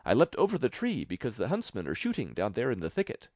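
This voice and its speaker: A man's voice: medium pitch, huge pitch swings, fast, darkish tone, dry recording, studio quality, pitched above the performer's own voice. A man voicing a boastful, merry little tailor in a fairy tale, with a bold, bragging manner.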